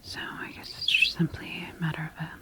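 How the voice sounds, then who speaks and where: A woman whispering an ASMR sci-fi roleplay as a calm, clinical scientist, breathy and deliberate in a reassuring way. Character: calm, clinical secret military scientist examining her creation.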